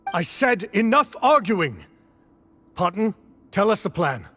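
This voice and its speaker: A man's voice: high-pitched, very animated, slow pace, pushed and bright, soft background music, exaggerated voice. An actor playing a firm, impatient male escapee trying to stop an argument in a game cutscene.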